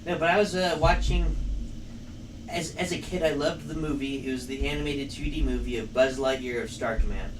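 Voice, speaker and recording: A man's voice: medium pitch, fairly quick. Distant young man, dry teasing. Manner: dry, teasing, laughs a lot. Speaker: podcast co-host. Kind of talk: casual chat. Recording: distant mic with steady air-conditioning hum.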